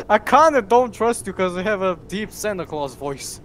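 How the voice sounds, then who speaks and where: A man playing an online game with friends, excitable and jokey, shouting at the action. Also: high voice, unhurried, a little hiss or hum. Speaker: fan player. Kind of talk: casual gaming chat.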